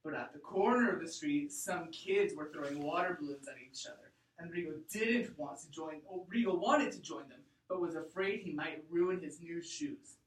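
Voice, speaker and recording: A woman teacher reading aloud to a class and explaining deliberately and expressively, thinking out loud. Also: low-pitched. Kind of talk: read-aloud lesson to a class. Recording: echoey room, distant mic.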